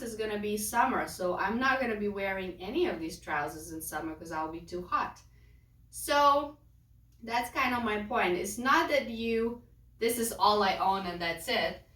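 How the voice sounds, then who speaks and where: A mom vlogger from Lithuania, speaking English as a second language in a rambling, casual chat with quick restarts. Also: female voice, mid-range voice, fairly bright tone, roomy sound, distant mic, poor audio. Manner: rambling, quick restarts, non-native phrasing. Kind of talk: casual chatty vlog.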